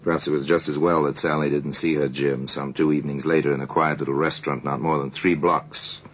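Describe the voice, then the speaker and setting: An actor playing a worldly, sardonic narrator of murder tales in a 1950s radio drama, speaking in a measured, ominous, theatrical way. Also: male voice, a bass voice, little pitch movement. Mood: pitying, ironic. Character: worldly, sardonic male storyteller of true murders. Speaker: narrator of a crime anthology. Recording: dull, noisy 1950s radio recording.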